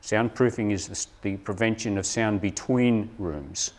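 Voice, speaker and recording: A man presents a live training session for teachers. His voice sits a little low. He explains step by step in a conversational way, often stopping on a short questioning tag to check that listeners follow. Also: normal speed, a little mellow. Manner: explanatory, checks in with frequent "right?". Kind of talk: live workshop talk to teachers.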